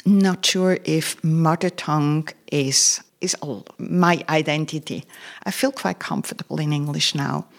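Low-voiced woman chatting frankly. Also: a little slow, creaky. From Switzerland. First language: Swiss German. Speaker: interview guest. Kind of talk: radio interview.

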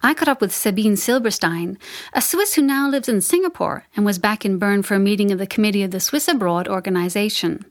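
Woman host running a radio interview. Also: mid-range voice. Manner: brisk, conversational questioning.

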